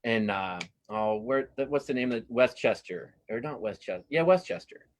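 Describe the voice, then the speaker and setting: A man's voice: mid-range voice, expressive, moderate pace, a little hiss or hum. A middle-aged man chatting casually as an interview guest, enthusiastic and often repeating words for emphasis. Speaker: guest cartoonist and comics writer.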